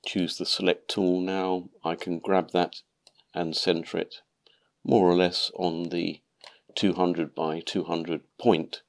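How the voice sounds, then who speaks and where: Man, very low voice, nasal with a cold, calm methodical tutorial narration. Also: slow, gravelly. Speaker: maker channel host.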